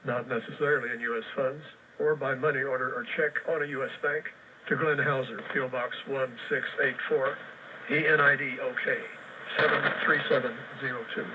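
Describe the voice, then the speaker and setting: A man hosting a shortwave radio news show, reading listener reports and frequency lists in a brisk, matter-of-fact way with dry sarcasm. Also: mid-range voice, steady tone, narrow, tinny audio. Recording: distant, faintly noisy shortwave radio relay.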